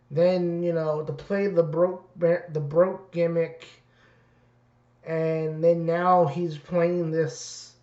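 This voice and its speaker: Raspy slow-talking man in a roomy space. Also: a little high, a little mellow. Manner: rambling, many fillers and restarts. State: raspy voice from two nights of events. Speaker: wrestling reviewer. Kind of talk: casual solo review. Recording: dull roomy lo-fi audio.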